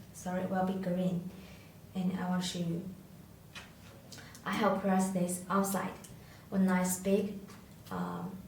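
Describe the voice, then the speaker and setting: Female product demonstrator, non-native English, lowish voice, halting tutorial delivery, heavily reverberant room recording. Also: a little mellow. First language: not English. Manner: halting, hesitant delivery with searching pauses. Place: echoey indoor room, tabletop demonstration. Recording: echoey room, distant mic, faint noise.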